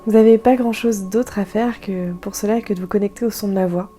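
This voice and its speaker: A woman guiding a yoga nidra meditation in a soft, calm, soothing way, leaving gentle pauses. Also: medium pitch, soft background music. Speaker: guided meditation instructor.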